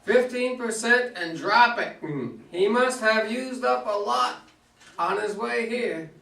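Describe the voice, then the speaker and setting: A man's voice: high voice, takes it slowly, gravelly, bright, forceful tone, pitched above the performer's own voice, full character voice. A man playing a flustered astronaut trying to manage a confused crewmate, in an amateur staged play reading. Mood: brisk, concerned. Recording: echoey room, distant mic.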